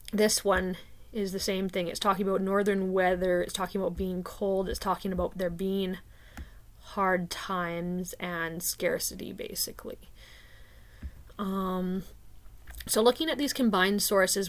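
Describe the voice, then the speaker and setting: A woman from Canada talking casually and thinking aloud, with frequent fillers and restarts. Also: medium pitch. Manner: unhurried, hesitant, many fillers like "um" and "like". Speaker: video creator discussing rune poems. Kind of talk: casual spoken commentary.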